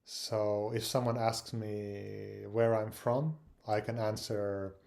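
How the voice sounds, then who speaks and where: A man from Finland giving casual advice on language learning, speaking English with frequent pauses, fillers and repeated words. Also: fairly low pitch, level tone, creaky, a little mellow. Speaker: language learning channel host.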